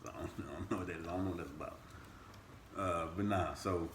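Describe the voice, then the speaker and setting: A man in his thirties, co-hosting a podcast in casual chat with his partner, animated and jumping up high in pitch when he teases. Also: fast talker, echoey room, a little hiss or hum. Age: 30s. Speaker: podcast co-host.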